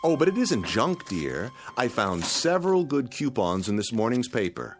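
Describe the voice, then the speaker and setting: Fussy frugal husband, very swoopy intonation, genteel, eager for bargains, radio drama, music behind. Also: male voice, mid-range voice, sing-song, normal speed. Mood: eager, placating. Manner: genteel, fussy, wordy, musing to himself. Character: mild, penny-pinching husband, eager about free bargains.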